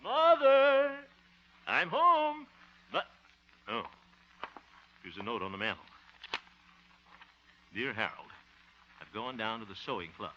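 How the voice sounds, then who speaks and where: An actor playing a bumbling, soft-hearted middle-aged radio homemaker who grumbles comic asides, in 1950s radio comedy style. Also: male voice, medium pitch, sing-song, slow pace, long pauses, over music. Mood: cheerful. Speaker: radio show lead. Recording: dull hissy 1950 radio broadcast.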